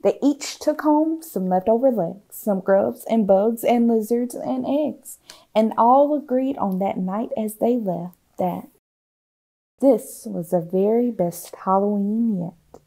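Mellow, high-voiced woman reading a storybook. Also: slow, creaky. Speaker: storytime reader. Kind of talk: expressive children's picture-book read-aloud.

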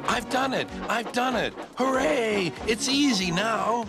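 A determined steam engine voiced by a man in a children's story. The voice is high and effortful, leaping in pitch as it exclaims. Loud music runs behind it. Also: animated, slow pace, heavily acted. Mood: excited, panting.

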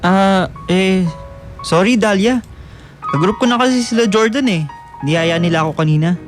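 A man's voice: sits high, normal speed, over music, lightly acted. An actor playing an easygoing teenage boy in a radio drama, casual, a little evasive and exasperated. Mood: hesitant.

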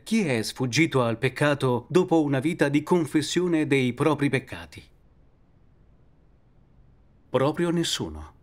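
A middle-aged man preaching a sermon, seated at a desk in a study with bookshelves, explaining in a calm, earnest way. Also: a little high.

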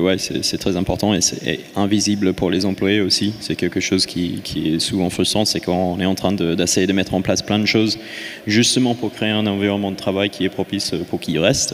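A man's voice: low-pitched, slight background noise. A man with an Australian accent, speaking as a panelist on a conference stage with many hesitant fillers. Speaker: studio head, panelist. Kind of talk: panel discussion. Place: conference stage in a large hall. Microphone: handheld microphone. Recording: reverberant hall, faint ambience.

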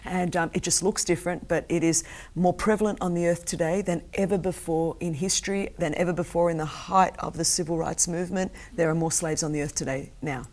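Middle-aged woman, Greek as first language, lower voice, earnest and emphatic as a talk-show guest on a lapel mic. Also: a little fast. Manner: intense, emphatic, animated hand gestures. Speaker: guest, anti-trafficking campaigner. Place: TV studio set with sofas.

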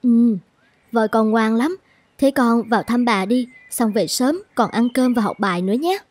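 High-voiced caring mother elephant played by a woman. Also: normal speed, darkish tone, close to an everyday voice. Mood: approving. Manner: motherly, instructing. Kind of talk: children's cartoon dubbing.

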